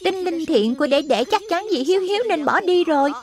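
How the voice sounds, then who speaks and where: A woman's voice: very high voice, lively intonation, a little fast, a little mellow, no echo. A woman voicing a small, wise spirit guardian in a children's cartoon, teaching and admonishing like an older brother. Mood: explaining. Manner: instructive, admonishing. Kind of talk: Vietnamese dubbed children's cartoon acting.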